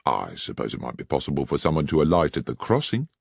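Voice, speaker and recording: A man reading aloud, voicing a courteous, probing gentleman detective. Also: a bass voice, huge pitch swings, fast talker, creaky, husky, no echo, clean studio sound, full character voice. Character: keen, incisive gentleman detective.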